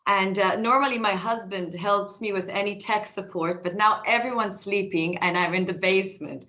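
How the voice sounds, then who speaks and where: A woman in her forties with an Israeli accent, talking about her life on a video call from her home basement, a little hoarse from a cold, reflective, with many fillers and restarts. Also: mid-range voice, normal speed, sharp tone. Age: 40s. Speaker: guest speaker. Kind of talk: personal talk over a video call.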